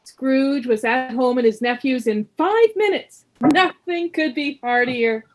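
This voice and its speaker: A woman narrating a radio play as a storyteller, with measured, dramatic phrasing. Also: sits high, rough recording.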